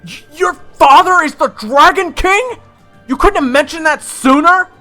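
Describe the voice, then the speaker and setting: A man's voice: very high voice, unhurried, bright, forceful tone, faint music behind, full character voice. A man playing a sarcastic, easily exasperated young would-be knight who also narrates his fantasy adventure. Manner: wry, incredulous, casual. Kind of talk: fan audio roleplay with first-person narration.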